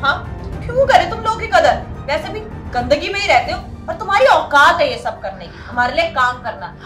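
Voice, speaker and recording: Girl acting nasty snobby woman customer, very high bright voice, scolding, echoey room w faint music. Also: sing-song, brisk. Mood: scornful. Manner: scolding, contemptuous, taunting. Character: haughty, cruel woman customer bullying a worker. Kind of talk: melodramatic scripted Hindi skit acting. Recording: roomy echo, faint music behind.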